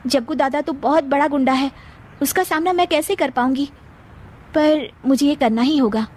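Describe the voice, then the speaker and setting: A woman's voice: high-pitched, normal speed, darkish tone, voice pushed up, natural voice. A woman voicing an anxious but resolute young daughter-in-law, pleading and exclaiming, in a moral story. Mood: worried.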